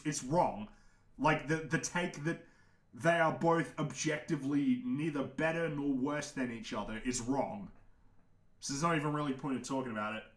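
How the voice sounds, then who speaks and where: A young man with a naturally deep voice hosts a casual livestream, giving frank, opinionated takes with frequent restarts, occasional swearing and laughter. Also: a bit bright, echoey room. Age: young adult. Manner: laughs often. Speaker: livestream host answering chat.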